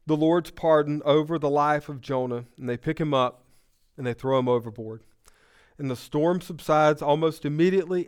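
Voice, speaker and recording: A Southern-accented man gives a sermon, with a smooth, resonant voice that sits a little high for a man. He's sick but still talks conversationally, cracks the odd joke and builds intensity as he retells the story. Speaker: pastor.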